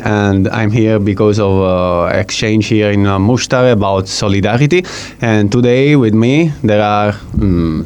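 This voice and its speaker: A man with a fairly low voice hosts a relaxed radio conversation. He talks in loose, halting English with many fillers. A faint background hiss sits under the voice. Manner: hesitant, filler-heavy non-native English. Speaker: radio show host. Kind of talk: casual radio chat.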